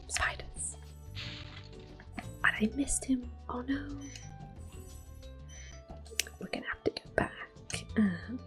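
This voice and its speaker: A woman whispering soft, unhurried commentary as she plays through a video game for an ASMR channel. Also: a little high. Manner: soft, unhurried whisper with occasional soft-spoken words. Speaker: ASMR gameplay host.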